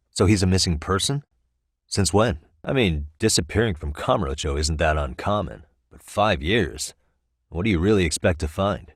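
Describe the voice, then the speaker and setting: A male actor voicing a composed, determined private detective in a video game, with a measured, probing delivery. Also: low-pitched, dry recording, clean studio sound. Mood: probing, dry. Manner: measured, probing, matter-of-fact. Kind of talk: English video game dialogue dub. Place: dry recording studio.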